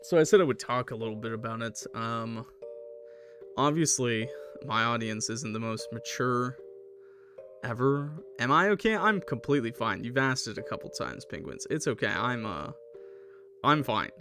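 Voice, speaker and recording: A man chatting casually on a livestream as a gamer, halting and hesitant, with a self-deprecating manner. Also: medium pitch, lively intonation, over music. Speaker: streamer playing and reviewing a game. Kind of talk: casual livestream chat, reacting to a game and viewer comments.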